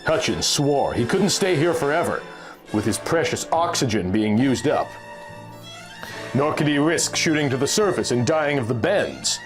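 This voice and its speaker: A man's voice: medium pitch, animated, normal speed, over music. A man narrating a scripted adventure story in a theatrical, suspenseful storytelling manner. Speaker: narrator reading a pulp magazine story.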